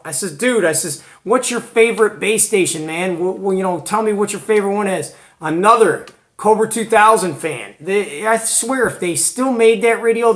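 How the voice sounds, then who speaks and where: A man from Georgia hosting a hobby show and tell, chatting casually and informally with plenty of slang. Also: sits high. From Georgia, United States. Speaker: host of a CB radio hobby show.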